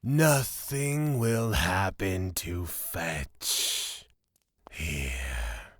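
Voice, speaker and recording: An actor playing a sinister, mischief-loving immortal who dotes on a pet, with a gravelly, drawn-out, cooing and menacing delivery. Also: male voice, low voice, animated, dry recording, heavily acted. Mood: sly, menacing.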